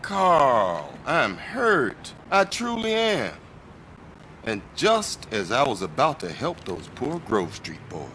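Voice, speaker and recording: A crooked middle-aged American policeman in a game cutscene. He is smug and quietly menacing, with a theatrical, lively melody that rises and dips as he taunts. There is some traffic noise behind him. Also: mid-range voice, slow pace, exaggerated voice. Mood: mock hurt. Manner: mock-sincere, drawling taunts, theatrical. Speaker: police officer. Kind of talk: video game cutscene acting.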